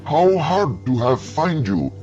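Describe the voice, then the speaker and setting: A man's voice: on the high side, sing-song, slow pace, darkish tone, voice pushed up. A man voicing a pushy male alien exterminator who speaks in broken English with eager, insistent phrasing, on a dull recording with faint music.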